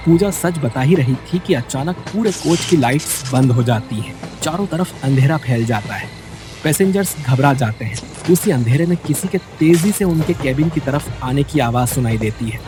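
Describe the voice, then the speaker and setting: A man's voice: mid-range voice, animated, moderate pace. A man reading a scripted bedtime story in a dramatic storytelling style, as narrator. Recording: music bed behind the voice. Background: background music.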